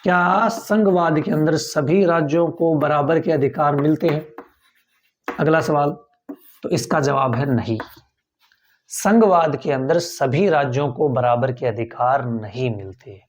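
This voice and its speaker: A man's voice: on the high side, a little slow, a little hiss or hum. A male teacher giving a tenth-grade civics lecture, repeating key points and asking the class rhetorical questions.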